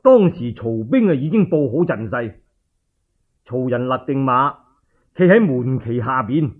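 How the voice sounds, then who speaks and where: Animated male Cantonese radio storyteller. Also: mid-range voice, a little slow, narrow, tinny audio. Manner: theatrical, colloquial, emphatic. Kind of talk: dramatic traditional oral storytelling, radio narration.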